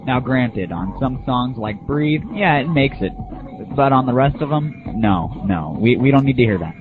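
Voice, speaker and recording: A man's voice: mid-range voice, animated, normal speed, music in the background. A man hosting an internet audio show, giving casual, opinionated solo commentary with irreverent, crude humor. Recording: thin phone-like early internet audio.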